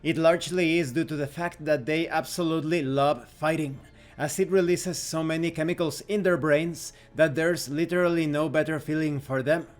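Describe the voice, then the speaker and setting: A man's voice: a little high, steady tone, normal speed, faint music behind. A man reading rulebook text aloud as scripted narration for a tabletop game review.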